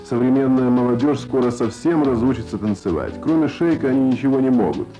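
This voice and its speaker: Male radio reader, average pitch, formal Soviet broadcast delivery with music clearly behind. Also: lively intonation, fairly quick. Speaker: radio reader of listeners' letters.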